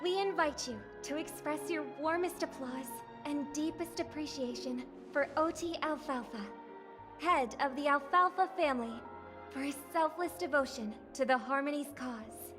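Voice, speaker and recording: A woman voicing a graceful young idol who hosts a festival, speaking in a measured, formal, ceremonial way. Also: high-pitched, lightly acted. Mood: ceremonious. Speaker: festival host. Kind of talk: video game voice acting. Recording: game audio with clear music behind.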